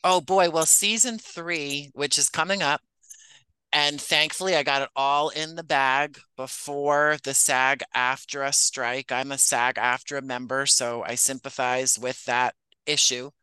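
Male interview guest, writer-producer type. Clean, bright voice, close dry sound, conversational delivery. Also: medium pitch, steady tone, unhurried, smooth, dry recording, poor audio.

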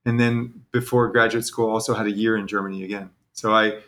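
A man's voice: fairly low pitch, level tone, a little fast, fairly bright tone. A man from Texas talking casually as an interview guest, in a self-deprecating way. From Texas, United States.

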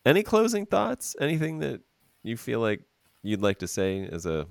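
A man's voice: mid-range voice, huge pitch swings, normal speed, creaky, no echo. A man hosting an interview podcast, chatting casually, teasing his guest and laughing often. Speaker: podcast host.

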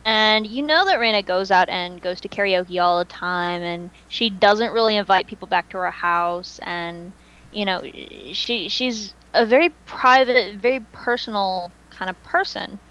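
A woman hosts a casual fan podcast. She talks in a chatty, opinionated way at a medium pitch, leaning on "like" and the odd swear word as she works through her thoughts. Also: unhurried, fairly bright tone, lo-fi sound.

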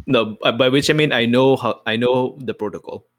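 A man's voice: mid-range voice, moderate pace. A man chatting casually as a player in a tabletop roleplaying game, hesitant and often correcting himself.

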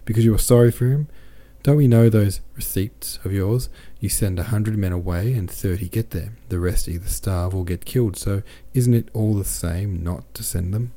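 A man's voice: on the low side, moderate pace, hardly any pauses, warm tone, lightly acted. A man reading a cold, ironic swashbuckling soldier in a storybook, blunt and taunting.

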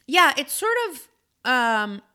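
A woman's voice: sits high, very animated, slow, sharp tone, smooth. A woman in her thirties co-hosting a podcast, talking in a musing way with many fillers and restarts. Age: 30s. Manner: musing, frequent fillers and self-corrections. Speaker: podcast co-host, acupuncturist. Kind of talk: casual chat.

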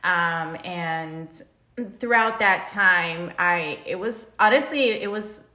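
A woman's voice: lowish voice, takes it slowly, sharp tone. A woman from El Salvador whose first language is Spanish, telling her story casually as a chatty podcast guest. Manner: informal, chatty, laughs easily. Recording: roomy, echoing sound.

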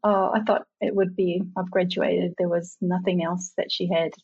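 A woman's voice: fairly low pitch, flat delivery, moderate pace, darkish tone, husky. A middle-aged woman from Samoa, talking reflectively as an interview guest, laughing often and sometimes restarting her sentences. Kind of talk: conversational interview. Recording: dull lo-fi sound.

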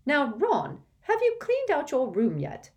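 A woman's voice: sits high, wildly swooping pitch, unhurried, a little mellow, voice pushed up, full character voice. A woman doing a bossy, bustling wizard mum. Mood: brisk. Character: bossy, busy wizard mother.

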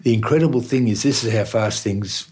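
A man's voice: lowish voice, brisk, darkish tone, husky. An older man from Austria who speaks with an Australian accent, telling stories as a guest in a casual interview and laughing often. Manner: chatty storyteller, laughs often. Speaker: guest musician.

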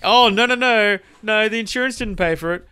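A man's voice: high-pitched, a little fast, sharp tone, clean tone, dry recording. A man with a Brisbane accent chatting in a laid-back way on a podcast, swearing casually. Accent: Australian, Brisbane. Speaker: podcast co-host. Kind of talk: casual chat.

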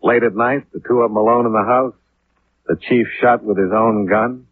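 An actor playing a tough, skeptical American insurance investigator in a 1950s radio drama, with a dry, clipped, probing delivery. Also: male voice, fairly low pitch, fairly even intonation, moderate pace, husky. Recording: tinny, muffled 1950s radio recording.

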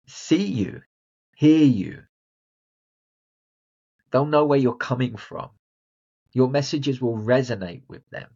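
A man's voice: medium pitch, a little mellow, husky, highs cut off. A man hosting a motivational solo podcast, talking bluntly and directly to the listener. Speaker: podcast host and confidence coach.

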